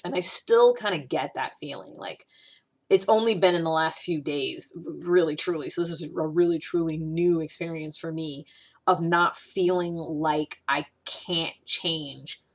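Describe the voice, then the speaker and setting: A woman with a slightly low voice talks straight to the camera in an easygoing, rambling way. She circles back on her words and leans on lots of fillers as she explains. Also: unhurried. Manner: rambling, many fillers and restarts. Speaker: video host. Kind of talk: casual talk to camera.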